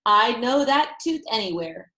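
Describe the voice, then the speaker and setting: A woman voicing an excitable, talkative little girl from a storybook, bubbly and wide-eyed, with emphatic, exclamatory childlike delivery. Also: on the high side, a little slow, roomy sound, full character voice. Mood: delighted, proud.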